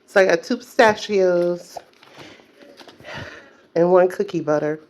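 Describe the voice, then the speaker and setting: A woman's voice: fairly low pitch, takes it slowly, a little mellow. An older woman vlogging casually at home, chatty, with many fillers and self-corrections. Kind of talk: casual chat to camera.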